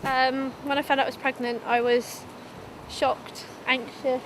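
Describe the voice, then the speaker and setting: A woman's voice: high-pitched, little pitch movement, unhurried, background noise. A 19-year-old woman giving a candid documentary interview, informal and a little hesitant, as if thinking aloud. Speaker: young mother being interviewed.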